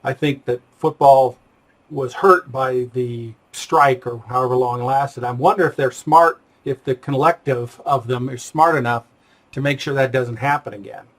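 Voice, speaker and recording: A man's voice: medium pitch, fairly even intonation, moderate pace. An American man hosting a casual interview, talking in a tentative, digressive way. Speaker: interview host.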